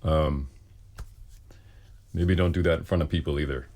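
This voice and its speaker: A man speaks in a low, deep bass voice. He talks casually as a singer fielding questions, with a wry and self-deprecating sense of humor. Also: steady tone, normal speed, darkish tone. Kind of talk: casual Q and A talk.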